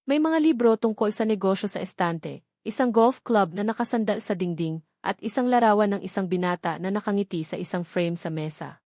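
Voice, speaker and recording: A woman's voice: mid-range voice, a little mellow, no room sound, studio quality. A woman reading scripted narration of a romance story as its narrator.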